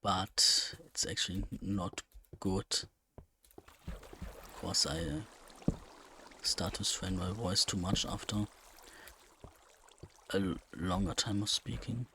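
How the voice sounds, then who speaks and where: Slow, gravelly young man. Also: low-pitched, fairly even intonation, pushed and bright, slight background noise. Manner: rambling, thinking aloud with many fillers. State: slightly strained voice. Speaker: let's play gamer. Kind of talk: casual gameplay commentary.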